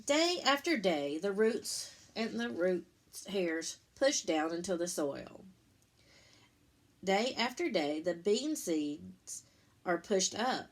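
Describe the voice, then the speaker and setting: A woman reading a picture book to children like a teacher, in simple, deliberate phrases, pausing to explain and ask questions. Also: lowish voice, big pitch swings. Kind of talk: read-aloud storytime with explanatory asides.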